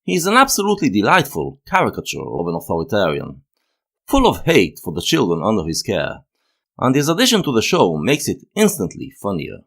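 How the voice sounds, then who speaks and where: Low-voiced man narrating with very lively pitch. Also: fairly quick, vocal fry. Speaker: host of a video essay series. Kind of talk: scripted analytical narration.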